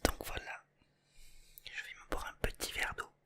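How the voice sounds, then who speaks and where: A person with a light southern French accent, whispering an informal, unscripted ASMR chat straight to the viewers. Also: moderate pace. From southern France. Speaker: ASMR video creator.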